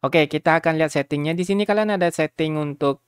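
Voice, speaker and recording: A man's voice: fairly high pitch, fast talker, smooth, no room sound. Chatty man, dry close sound. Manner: informal, chatty, often checks in with listeners. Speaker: YouTuber giving a software tutorial. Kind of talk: casual screen tutorial with streamer slang.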